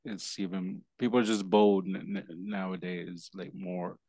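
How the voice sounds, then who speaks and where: A man hosting an interview, speaking in a halting way, with many restarts and repeated words. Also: low-pitched, steady tone, darkish tone, no room sound. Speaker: interview host. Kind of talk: casual interview chat.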